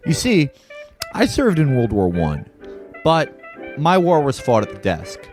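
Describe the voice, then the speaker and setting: A man's voice: a little high, wildly swooping pitch, measured pace. A man playing a comedy tabletop game, introducing his character in a mock-boastful way. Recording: clear music bed under the voice.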